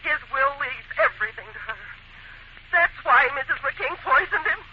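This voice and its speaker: A woman's voice: high-pitched, animated, moderate pace. Melodramatic woman, old radio mystery. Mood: accusing. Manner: emphatic, theatrical. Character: women suspects in a murder mystery, melodramatic, wounded. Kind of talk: 1940s radio acting. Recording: muffled, hissy 1940s radio recording.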